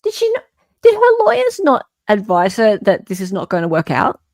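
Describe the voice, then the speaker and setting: A woman's voice: medium pitch, wildly swooping pitch, moderate pace, no room sound, clean studio sound. An Australian woman hosting an online show, reading a legal filing aloud with emphatic, incredulous off-the-cuff commentary.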